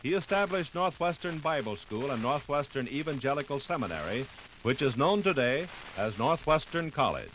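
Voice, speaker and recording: A man's voice: mid-range voice, big pitch swings, normal speed, fairly bright tone. A man giving formal, authoritative scripted narration for a 1950s radio documentary. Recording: noisy 1950s radio record.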